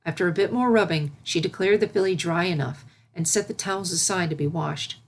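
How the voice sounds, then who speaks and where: A woman's voice: lowish voice, a little fast. A woman narrating a scripted story as its reader.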